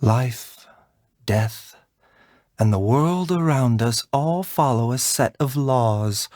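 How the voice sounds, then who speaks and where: An actor playing a gentle, composed herbal doctor in a video game, polite and measured in manner. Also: male voice, mid-range voice, animated, no echo, studio quality. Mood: philosophical. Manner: soft-spoken, polite, measured, bedside courtesy. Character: gentle, courteous, composed male herbal doctor, frail yet unhurried. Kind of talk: video game character voice lines.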